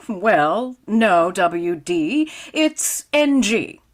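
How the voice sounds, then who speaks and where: A woman's voice: mid-range voice, very animated, extremely slow. A woman playing a wry, sardonic schoolteacher in a 1940s-style radio comedy, with dry, pedantic wisecracks. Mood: groggy, wry.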